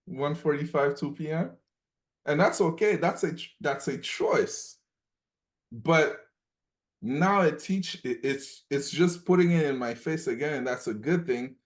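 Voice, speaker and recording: A man's voice: fairly high pitch, unhurried. Emphatic man from Cameroon, repeating for emphasis. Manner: emphatic, repeats points, frequent "okay?" check-ins. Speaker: coaching call participant. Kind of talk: motivational group call sharing.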